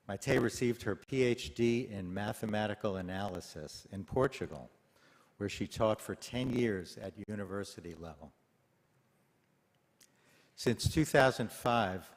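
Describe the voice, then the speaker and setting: A man introducing the speaker at a congregation's service, in a warm, formal way. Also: fairly low pitch. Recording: long hall reverb.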